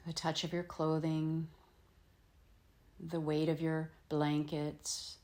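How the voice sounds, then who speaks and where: A woman with a low voice leads a guided relaxation as a yoga nidra teacher. She speaks very slowly and gently, leaving space between short, soothing phrases. Her delivery is unhurried instruction, made for meditation. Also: monotone. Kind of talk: guided meditation, slow instruction with long pauses.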